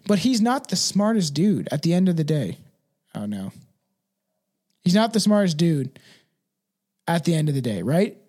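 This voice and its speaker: A man's voice: a little high, sing-song, normal speed, pauses often, darkish tone. A man hosting a podcast in casual, opinionated chat, speaking bluntly and emphatically, swearing freely and tagging phrases with "right" and "man". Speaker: podcast host.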